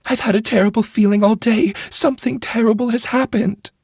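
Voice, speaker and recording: A woman's voice: a little high, steady tone, a little fast, a little mellow, breathy edge, dry recording, clean studio sound, pitched above the performer's own voice. A man voicing an anxious, tearful young noblewoman who speaks in a fretful, pleading way.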